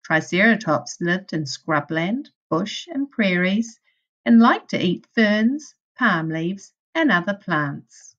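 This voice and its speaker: A woman reads a picture book to young children at an unhurried pace. Her pitch moves up and down in a lively way as she speaks deliberately, like a primary school teacher. The recording is a little dull and homemade. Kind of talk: children's storybook read-aloud.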